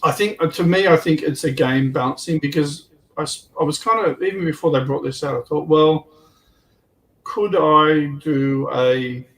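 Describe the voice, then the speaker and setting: A man's voice: on the high side, normal speed, fairly bright tone, low-quality recording. An Australian man chatting casually on a hobby livestream panel, with hesitant, rambling phrasing. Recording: clear background noise on livestream.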